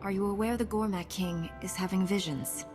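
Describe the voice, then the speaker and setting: A woman's voice: mid-range voice, fairly even intonation, normal speed, close to an everyday voice. A woman playing a resolute young warrior hero in a video game, speaking in an earnest, direct, composed way. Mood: questioning. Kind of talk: video game cutscene acting. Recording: music under the voice.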